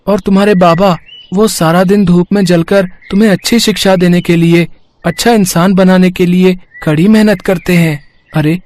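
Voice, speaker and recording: Cast a man voicing a gentle disguised boy-god, warm and encouraging, higher register. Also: natural voice. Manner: kind, encouraging.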